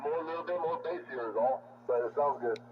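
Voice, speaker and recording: A man's voice: a little high, fast talker, slightly rough. An American man replying casually as a CB radio operator, his voice coming through a radio transmission. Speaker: CB radio operator answering calls. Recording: very noisy, narrow, static-filled CB reception.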